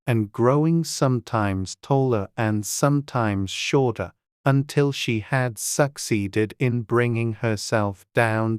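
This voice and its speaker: A man narrating a storybook audiobook in a measured, scripted storytelling manner. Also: mid-range voice, clear, resonant tone, dry recording, clean studio sound.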